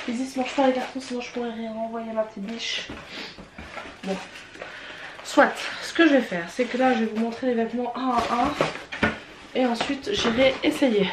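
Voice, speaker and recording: A young Swiss French woman, congested from a cold, chats casually and candidly, stumbling and restarting as she goes. Her voice sits a little high. The room is roomy and echoey, with a faint hiss of noise behind her. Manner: chatty, hesitant, frank, many fillers and restarts. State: stuffy from a cold. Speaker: vlogger. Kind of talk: casual unboxing and try-on chat.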